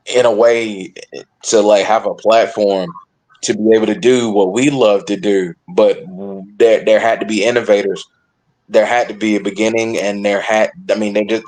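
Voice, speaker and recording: Low-pitched, halting male sports panelist. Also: darkish tone, rough recording. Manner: halting, repeats and reworks phrases, emphatic. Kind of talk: casual sports discussion.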